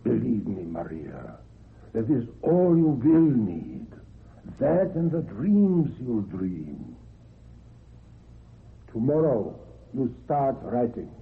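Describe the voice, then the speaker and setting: An actor playing a stern, controlling old grandfather who speaks deliberately and insistently in a radio drama. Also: medium pitch, wildly swooping pitch, creaky, exaggerated voice. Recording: tinny narrow-band old radio recording.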